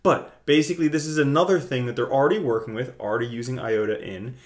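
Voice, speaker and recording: A young man hosting a casual video, talking through his screen in a chatty, jokey way with big swings in loudness. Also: mid-range voice, fast. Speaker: crypto video host. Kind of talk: casual screen-share commentary.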